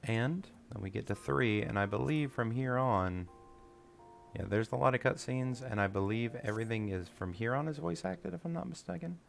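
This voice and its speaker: A man's voice: mid-range voice, animated, normal speed, creaky, faint music behind. A man giving casual, unscripted gaming commentary, tentatively thinking aloud. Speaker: gamer presenting recorded cutscenes.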